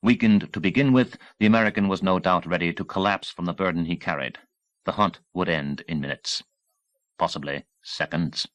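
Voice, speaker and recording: A male audiobook narrator with a low voice delivers dramatic action prose. His phrasing is intense and deliberate, falling in short bursts. The sound is dry, with very little room on it. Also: a bit bright, no echo.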